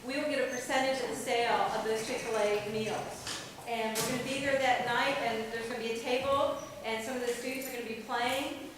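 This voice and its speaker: Woman projecting loudly in a distant hall. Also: sits high. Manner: loud, projecting to the audience, pleading. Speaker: teacher and booster club volunteer. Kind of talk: fundraiser announcement. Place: large school auditorium. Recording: echoey hall from far off, audience noise.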